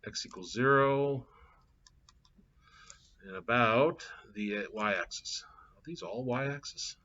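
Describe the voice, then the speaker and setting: Middle-aged man, math instructor, relaxed rambling lecture, muffled lo-fi mic. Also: mid-range voice, slow. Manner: casual, chatty, thinking aloud with fillers. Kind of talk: live class lecture with anecdotes. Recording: dull lo-fi classroom capture.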